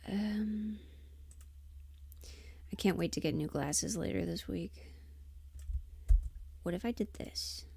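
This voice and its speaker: Slow, creaky-voiced woman muttering to herself. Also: mid-range voice, dark tone, no room sound. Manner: absent-minded muttering to herself, trailing off. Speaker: livestreamer and audio performer. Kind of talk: casual livestream chat, thinking aloud while working. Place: indoors at a computer, close dry sound. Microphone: desk microphone, sometimes spoken off-axis.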